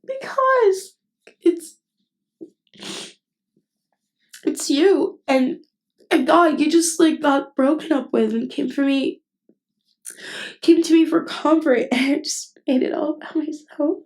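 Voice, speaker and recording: A woman acting a nervous, tender best friend with hidden love in an intimate ASMR roleplay, speaking hesitantly and haltingly. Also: sits high, lively intonation, darkish tone. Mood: embarrassed, tearful. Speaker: voice actress.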